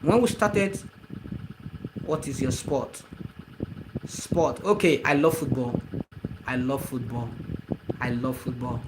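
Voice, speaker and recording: A man's voice: medium pitch, fairly even intonation, takes it slowly, long pauses, noisy background. A man from eastern Nigeria with a Nigerian accent, a native Igbo speaker, hosting a casual live stream, repeating himself and greeting commenters by name.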